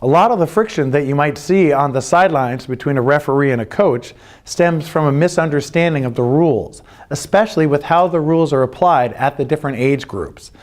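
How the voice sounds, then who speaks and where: A man's voice: a little high, fairly quick, a little mellow. A middle-aged American man giving an earnest, plain-spoken instructional presentation as a youth sports coach, stressing and repeating his key points. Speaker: youth lacrosse coach and club representative. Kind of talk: instructional presentation of club rules.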